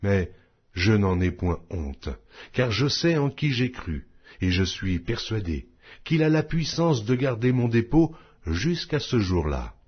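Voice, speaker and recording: A man reads scripture solemnly in a fairly low, husky voice. His phrasing is measured and declamatory, rising and falling with very lively intonation. The recording is slightly dull and muffled. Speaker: Bible audiobook narrator.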